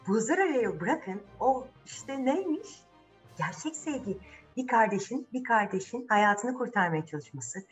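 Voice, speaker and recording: Animated woman telling children a fairy tale. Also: a little high, rough recording. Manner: dramatic, rhetorical questions, repeats phrases for suspense. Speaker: children's storyteller, channel host.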